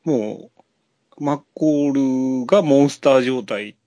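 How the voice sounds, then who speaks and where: A man's voice: medium pitch, slow, mellow, dry recording. A man with a Kansai accent chatting casually about films as a podcast co-host, piecing his thoughts together as he goes. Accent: Kansai Japanese. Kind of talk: casual film discussion.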